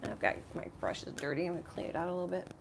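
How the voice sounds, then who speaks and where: A woman with a slightly rough voice hosting a casual live painting tutorial, thinking aloud in a rambling way as she works. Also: on the low side, lively intonation, racing, background noise. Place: home art studio.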